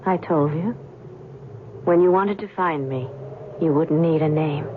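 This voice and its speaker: A woman playing a serene, cryptic young woman from another world in an old-time radio drama, speaking in a measured way. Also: sits low. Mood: serene, teasing. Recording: noisy, muffled old radio show recording.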